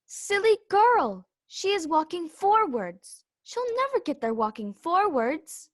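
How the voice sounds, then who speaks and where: A woman playing a brisk, bossy, know-it-all talking flower in a children's readers' theater performance. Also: very high-pitched, sing-song, dry recording, clean studio sound, full character voice. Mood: scornful.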